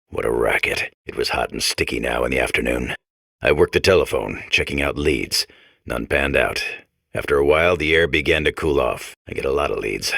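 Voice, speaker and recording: Very deep, gravelly deadpan detective robot. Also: male voice, no room sound, studio quality, full character voice. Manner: deadpan clipped noir narration. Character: boring hard-boiled detective robot core, world-weary, dull. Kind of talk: parody of 1940s noir detective narration.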